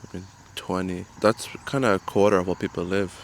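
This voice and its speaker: Low, gravelly young man. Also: brisk, slight background noise. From Ireland. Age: 20s. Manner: relaxed, joking, chatty. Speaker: writer answering fan questions. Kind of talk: casual Q and A vlog.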